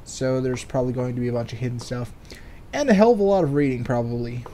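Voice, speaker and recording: Male gaming commentator, dark relaxed tone, medium pitch, loose and wordy, slight background noise. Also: fast. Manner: rambling, speculative, sweary. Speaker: let's play host. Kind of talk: casual game commentary, reading game text aloud.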